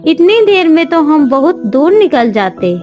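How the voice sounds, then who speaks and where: Young princess, a woman acting, plaintive storybook cartoon wife. High pitch, slow delivery, faint music. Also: big pitch swings, darkish tone. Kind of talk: Hindi animated moral story acting.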